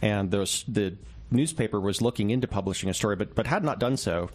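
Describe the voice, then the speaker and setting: A man with a fairly low voice talks as an interview guest. He explains conversationally and in a measured way, like a journalist qualifying each detail. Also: a little mellow, slight background noise.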